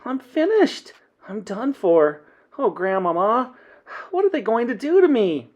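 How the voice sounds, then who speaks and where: A man's voice: extremely high pitch, sing-song, measured pace, a little mellow, muffled recording, pitched above the performer's own voice, exaggerated voice. A man voicing a terrified young boy who pleads and cries out in a children's storybook reading. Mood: despairing, frightened. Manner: panicky, pleading, crying out.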